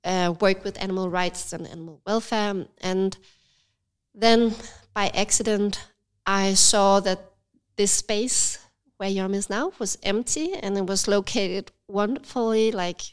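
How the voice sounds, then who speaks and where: A woman with a Danish accent speaks in a smooth, clear voice, a little stuffy from a cold. She talks thoughtfully in a relaxed interview, often hesitating with small fillers as she searches for words. Her pitch sits in an ordinary middle range. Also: measured pace. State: has a cold, slightly stuffy. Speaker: cafe and yoga studio owner, guest. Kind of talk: casual interview conversation.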